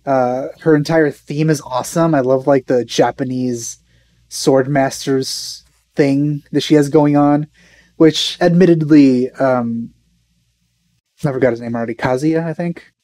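Mellow man, dry sound. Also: medium pitch, steady tone, measured pace, no room sound. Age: young adult. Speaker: reaction video host. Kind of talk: casual reaction commentary.

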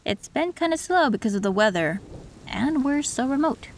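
A woman voicing a gentle young tech fixer in an audio roleplay, soft and reflective. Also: sits high, animated, moderate pace, slight background noise. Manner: soft, soothing, reflective with small laughs. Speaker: voice actor.

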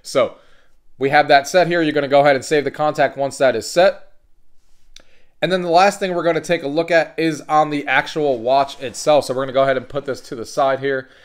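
Fast-talking man giving a tech tutorial. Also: on the high side, steady tone. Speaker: host of a tech tutorial channel. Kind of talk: casual step-by-step tutorial.

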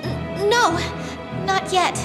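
A woman's voice: extremely high pitch, extremely slow, loud music behind the voice, full character voice. A woman voicing a girlish young fairy queen, earnest, delicate and hesitant, in a fantasy video game. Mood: flustered. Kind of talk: scripted video game voice acting.

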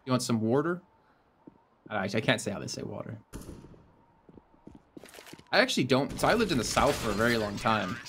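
Male esports caster, mid pitch, faint noise behind; teasing, dry banter between rounds. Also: sing-song, normal speed, long pauses. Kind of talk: banter and play-by-play commentary.

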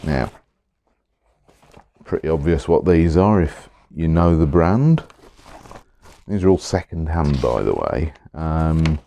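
A middle-aged man, slightly hoarse, chatting casually as he unboxes pens at his desk, rambling with many pauses and restarts. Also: extremely low pitch, expressive, warm tone, slight background noise. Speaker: pen collector vlogger. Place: indoors at a desk, overhead camera on hands.

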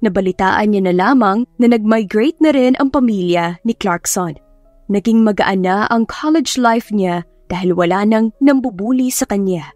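A woman's voice: medium pitch, moderate pace, a little mellow, dry recording. A woman with a Filipino accent reading a scripted story in a dramatic narration style. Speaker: audiobook narrator. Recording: background music under narration.

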